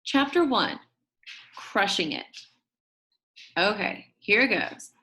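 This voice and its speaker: A shy but upbeat, quirky school kid tells their own storybook tale , read aloud by a woman. The delivery swoops widely in pitch at a medium register, chummy and expressive, with dramatic emphasis on key words. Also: slow, low-quality recording. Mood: chatty, earnest. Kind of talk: first-person children's book narration.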